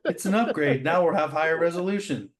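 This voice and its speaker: A man's voice: fairly high pitch, fast talker. A man hosting a show, chatting casually and admiringly as he comments on comic art. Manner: admiring, informal, says "man" often. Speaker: show host.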